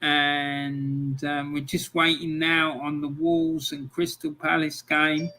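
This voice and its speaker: A man's voice: a little high, slow. A middle-aged man hosting a football live stream, chatting casually, reading out scores and viewer comments with plenty of ums. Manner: relaxed and chatty, with many ums.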